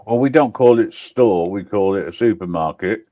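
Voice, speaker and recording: A man's voice: on the low side, moderate pace, dark tone, dry recording. A man bantering casually on a panel with a dry, teasing manner. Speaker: talk show panelist.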